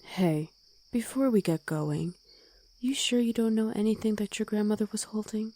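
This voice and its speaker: A woman voicing a gruff, protective wolf girl who talks bluntly in an ASMR roleplay drama. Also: mid-range voice, moderate pace, warm tone, natural voice. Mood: gruffly caring. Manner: blunt, commanding, gruffly teasing.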